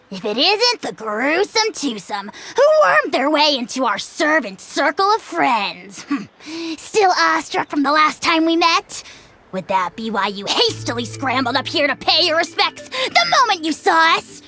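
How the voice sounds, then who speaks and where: A pompous little dragon lord. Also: female voice, sits high, sing-song, a little slow, pushed and bright, soft background music, full character voice. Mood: haughty. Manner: grandiose, mocking, uses royal we. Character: tiny pompous self-crowned dragon lord, haughty and theatrical. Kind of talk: video game voice acting.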